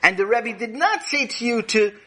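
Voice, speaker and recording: A man's voice: very high voice, animated, normal speed, pushed and bright, heavily acted. A man voicing an exasperated mother who keeps questioning her son, in a raised, incredulous voice. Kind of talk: storytelling with character voices.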